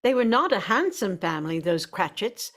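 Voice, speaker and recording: A woman with a medium-pitched voice narrates a scripted radio drama. Her delivery is measured and literary, that of a storyteller reading a classic tale. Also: very animated, a bit bright.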